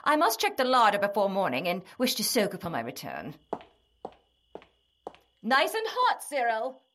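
Bossy, swooping, scolding wife. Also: female voice, on the high side, huge pitch swings, normal speed, a bit bright, a little hiss or hum. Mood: sweetly bossy. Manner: imperious, scolding. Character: bossy, scornful nagging medieval wife. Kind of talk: audio drama acting.

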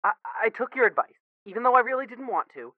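Man acting an excitable brother on a tinny phone. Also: extremely high pitch, fast, no echo. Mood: overwhelmed joy. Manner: swearing, stammering, blurting. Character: excitable, foul-mouthed young man, emotional and blurting brother. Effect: phone filter. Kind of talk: audio fan fiction drama dialogue. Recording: thin phone-like band, dry.

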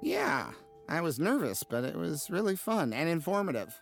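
Hapless ad man, flustered rambling, very animated pitch, audio drama, music behind. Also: normal speed, vocal fry. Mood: awkwardly polite. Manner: flustered, rambling, self-scolding. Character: nervous, smitten, hapless low-level ad man. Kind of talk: audio drama acting with confiding inner monologue.

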